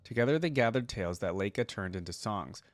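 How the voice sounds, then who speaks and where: Low-voiced man, close dry sound. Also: expressive, brisk, no room sound. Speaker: reaction video host. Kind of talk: casual reaction commentary and reading a story aloud.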